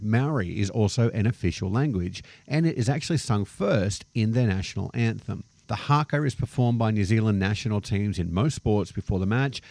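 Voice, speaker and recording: A man's voice: on the low side, a little mellow, dry recording. An Australian man hosting a vlog, explaining things in a casual narrated style with the odd anecdote. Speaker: vlog host.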